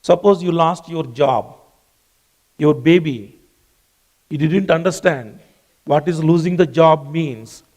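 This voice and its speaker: Man preaching, English not his first language, high voice, unhurried, earnest, repeats phrases. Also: a little mellow. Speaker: pastor. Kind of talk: sermon.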